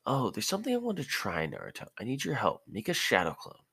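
Brash teenage ninja girl, played by a man, pitched up, lively swings, storybook reading. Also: fast talker, vocal fry, slightly rough, dry recording, heavily acted. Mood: eager. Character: brash, curious teenage kunoichi girl, breezy and teasing.

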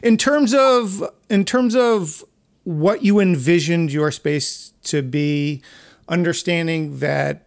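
A man hosting a casual interview podcast, phrasing things in a halting, thoughtful way. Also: on the high side, creaky. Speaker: podcast host.

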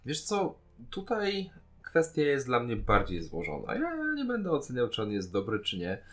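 A man's voice: medium pitch, wildly swooping pitch, normal speed, a bit bright. A man co-hosting a casual podcast discussion, talking in an informal, blunt way. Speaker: podcast co-host.

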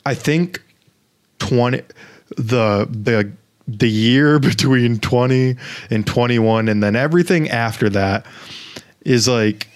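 A young man co-hosts a casual podcast, talking loosely in an easygoing way. He swears now and then. His voice sits at an average pitch for a man. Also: takes it slowly, pauses often, clear, resonant tone. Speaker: podcast co-host. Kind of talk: casual chat.

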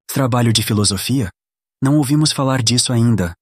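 A man's voice: mid-range voice, brisk, slightly rough, no echo, studio quality, close to an everyday voice. A man with a Brazilian accent playing a cocky, popular teenage athlete in an audio drama, cool and offhand. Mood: cocky, casual.

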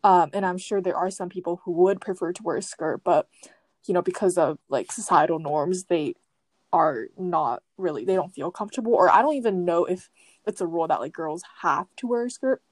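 A woman's voice: lowish voice, fairly quick, a little mellow, dry recording. A young woman from Japan and Taiwan hosting a casual, conversational discussion.

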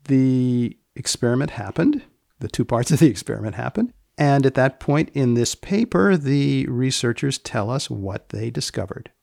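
A male podcast host with a gravelly, creaky voice, recorded very dry and close. He explains things in deliberate, broken-up phrases, keeping a straight face through wry asides. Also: medium pitch, no room sound. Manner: deadpan, deliberate, phrase-by-phrase delivery.

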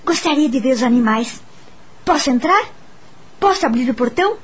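A woman's voice: high-pitched, lively intonation, moderate pace, a little hiss or hum. A woman playing a curious, uneasy young teacher in a Brazilian radio drama, narrating her own story expressively. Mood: measured storytelling. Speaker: lead actress and narrator.

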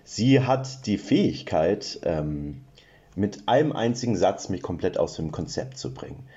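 Give me a deep-voiced man from Franconia chatting loosely with fillers on a slightly muffled recording. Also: expressive, unhurried, warm tone. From Franconia, Germany. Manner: chatty, self-deprecating, with many fillers. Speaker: podcast guest, brother of the co-host. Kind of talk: casual chat.

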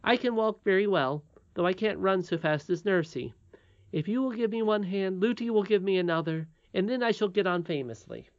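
A woman's voice: mid-range voice, animated, moderate pace, darkish tone, dry recording, muffled recording, voice pushed up, lightly acted. A woman reading a storybook aloud, voicing an earnest, curious little princess with a childlike, pleading manner. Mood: curious, polite.

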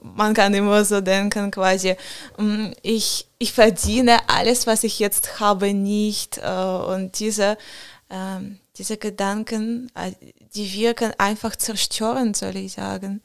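A young woman, not a native German speaker, chatting casually as a podcast guest and often pausing to search for words. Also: medium pitch, flat delivery, creaky, smooth. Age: young adult. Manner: searching for words, many fillers, non-native phrasing. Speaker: guest, college student.

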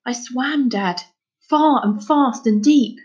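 A woman voicing an earnest, excitable young boy in a storybook, pleading and insistent. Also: on the high side, slow, lo-fi sound, voice pushed up. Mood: excited, urgent.

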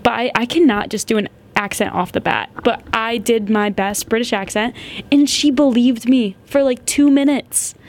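A woman's voice: on the high side, moderate pace. A woman in her twenties hosting a podcast, telling a story in a chatty, giggly way and saying "like" a lot. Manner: chatty, giggly, heavy use of "like". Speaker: podcast host. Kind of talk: casual storytelling.

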